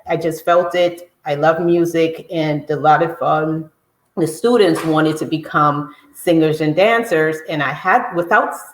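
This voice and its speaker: Low-voiced woman in an echoey room. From Guyana. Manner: earnest, storytelling, some restarts. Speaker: podcast host. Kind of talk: casual conversational podcast talk.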